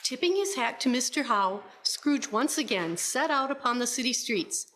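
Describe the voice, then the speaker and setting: Higher-voiced woman narrating a story. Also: expressive, normal speed, a bit bright, a little hiss or hum. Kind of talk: scripted storybook narration for radio play.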